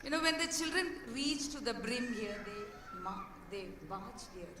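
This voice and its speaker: A woman giving an earnest, hoarse speech as a school head at an event, pausing with fillers and restarts. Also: fairly high pitch, animated. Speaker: guest speaker, school head. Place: large hall. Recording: echoey hall, distant mic, faint noise.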